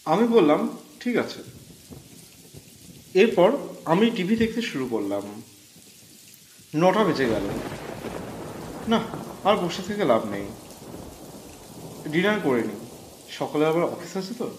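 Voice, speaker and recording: Slow, expressive male storyteller with echo. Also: on the high side, background noise. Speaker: audio story narrator. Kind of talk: scripted first-person horror story narration. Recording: echoey voice over background music.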